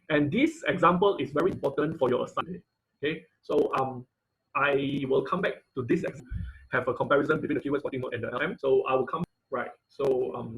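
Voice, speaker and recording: Halting man teaching an online class. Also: fairly high pitch, gravelly, slight background noise, rough recording. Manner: halting, repeats phrases, often seeks confirmation with "okay" and "right". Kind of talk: informal live technical demonstration lesson.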